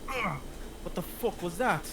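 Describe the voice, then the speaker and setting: A man's voice: sits high, lively intonation, a little slow, gravelly, pushed and bright, slight background noise, exaggerated voice. A man playing an agitated, foul-mouthed crook in an amateur script read.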